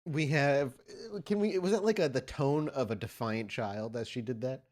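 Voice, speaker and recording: A man narrating as game master of a tabletop game, with measured, halting, dramatic phrasing. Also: medium pitch, darkish tone.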